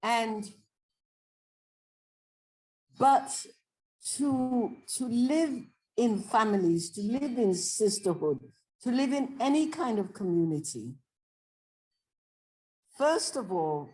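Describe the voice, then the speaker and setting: A woman professor giving a reflective answer, thoughtful and deliberate, with pauses between phrases. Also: on the high side, lively intonation, dark tone, low-quality recording. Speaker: professor, invited speaker.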